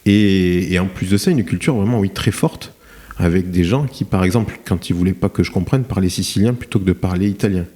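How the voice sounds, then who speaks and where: Middle-aged man, deep and warm, halting and reflective interview guest. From France. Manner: hesitant, many fillers and restarts. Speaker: guest, cement plant director. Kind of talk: podcast interview, reflective storytelling.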